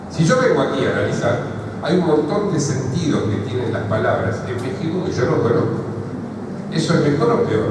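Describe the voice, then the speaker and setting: A man with an Argentine accent giving a psychoanalysis seminar, explaining points and checking in with the audience. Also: on the high side. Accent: Argentine Spanish. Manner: explanatory, keeps asking "¿sí?" and "¿está?" to check in. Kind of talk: seminar lecture answering a question. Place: large university lecture hall. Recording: echoey hall from far off, noisy.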